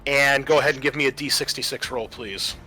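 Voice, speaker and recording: A man's voice: mid-range voice, brisk, pushed and bright, soft background music, low-quality recording. A man narrating a tabletop horror roleplaying game as game master, casual and prone to swearing.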